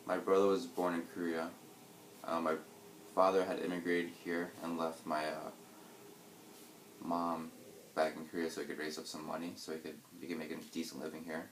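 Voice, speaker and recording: A young man in his twenties with a very low voice, talking through his answers in a reflective, hesitant way. His voice bounces around a roomy space. Steady background noise sits under it. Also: moderate pace. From United States. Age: 20s. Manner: reflective, hesitant, with fillers and restarts. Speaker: interviewee. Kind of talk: casual interview answers.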